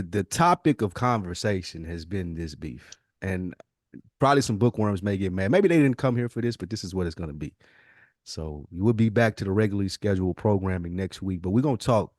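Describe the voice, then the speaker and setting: A man's voice: low-pitched, animated, a little fast, darkish tone, dry recording, studio quality. A man hosting a podcast in casual, slangy, teasing banter. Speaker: podcast host.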